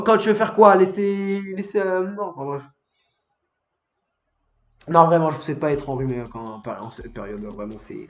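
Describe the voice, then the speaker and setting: A teenage boy with a cold and a stuffy nose, rambling and swearing as he chats on a gaming stream. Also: on the high side. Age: teen. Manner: rambling, swears freely, bursts into angry shouting at the game. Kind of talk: casual gaming stream chat. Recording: roomy echo, dull lo-fi sound, faint music.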